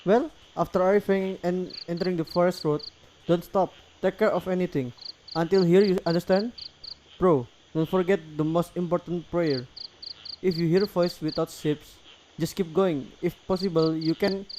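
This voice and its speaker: A concerned older village man, played by a male student actor in a radio play, with a high, soft-toned voice. He has an Indonesian accent and speaks halting English, earnestly cautioning. Night insects and noise sit behind him. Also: fairly even intonation, lightly acted, low-quality recording. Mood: earnest warning. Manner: halting, non-native English phrasing. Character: concerned older local man giving solemn warnings. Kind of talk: student radio drama acting.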